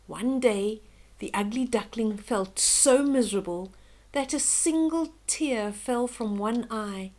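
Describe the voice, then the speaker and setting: An older woman reading a children's story aloud slowly and expressively, with gentle chatty asides to young listeners. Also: on the high side.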